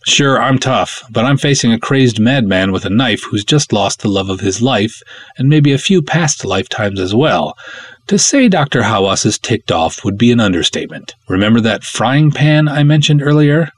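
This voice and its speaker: A man's voice: mid-range voice, animated, moderate pace, no echo. An American man from Minnesota with a playful, theatrical manner, reading and hosting expressively as a podcast host. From Minnesota, United States.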